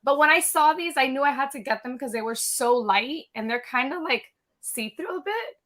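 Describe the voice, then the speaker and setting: A woman hosts a casual chat show with an upbeat, gushing manner. Her voice sits a little high and sounds a bit cracky, as if she is losing it. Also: lively intonation, normal speed, fairly bright tone. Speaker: podcast host.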